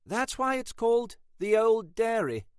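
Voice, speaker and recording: A man's voice: extremely high pitch, sing-song, unhurried, clean tone, dry recording, studio quality, pitched above the performer's own voice, full character voice. A man voicing a posh, fussy lady of the house who gushes like a genteel hostess.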